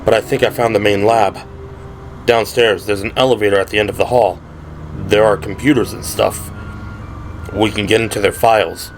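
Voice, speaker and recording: A man's voice: lowish voice, fairly even intonation, moderate pace, a little hiss or hum, natural voice, low-quality recording. A man voicing a rugged, insistent police veteran in an audio drama, persuasive, with music behind.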